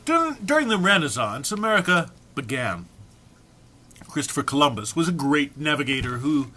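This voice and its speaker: A man's voice: on the high side, big pitch swings, normal speed, bright, forceful tone. A man giving an amateur, theatrical dramatic reading of a humorous text, prone to amusement and stumbles. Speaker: amateur reader.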